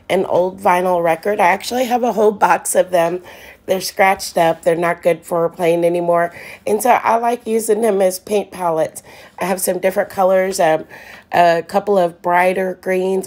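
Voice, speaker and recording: A middle-aged woman talks through her painting work at a slow, easy pace. Her voice sits a little low, and she pauses often with ums and restarts her thoughts. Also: a little mellow. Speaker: furniture painter. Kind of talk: relaxed voice-over narration.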